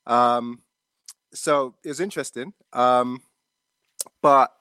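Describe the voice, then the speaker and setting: Male panel guest, halting, filler-heavy, dry mic. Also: medium pitch, bright, forceful tone, smooth, dry recording. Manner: halting, lots of ums. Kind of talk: casual fan chat.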